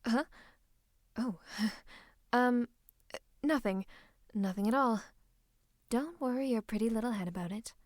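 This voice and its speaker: A woman playing a scheming royal advisor in a fantasy roleplay, speaking close to the listener in a coaxing, teasing, falsely comforting way with breathy asides. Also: fairly high pitch, slow pace, long pauses, dry recording. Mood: flustered, conspiratorial. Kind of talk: roleplay ASMR monologue to the listener. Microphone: close microphone.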